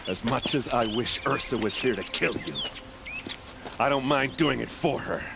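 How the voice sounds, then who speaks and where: An actor playing a gruff, hot-tempered warrior bent on revenge, blunt and menacing, in a video game cutscene. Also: male voice, medium pitch, normal speed, heavy background noise, close to an everyday voice. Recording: loud music over the voice.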